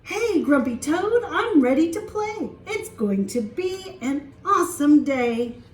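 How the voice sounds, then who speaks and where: A woman's voice: sits high, very animated, slow, roomy sound, distant mic, exaggerated voice. A woman voicing a cheerful, easygoing young male kitten with an eager, childlike manner. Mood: excited.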